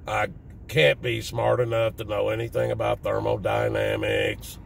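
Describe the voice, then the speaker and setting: Southern US man, fifties, raspy and low, very gravelly; plain-spoken, slightly defensive vlogger talking off the cuff. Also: level tone, moderate pace. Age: 50s. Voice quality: raspy, deep smoker's voice. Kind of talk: casual personal talk to viewers. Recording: distant mic with faint background noise.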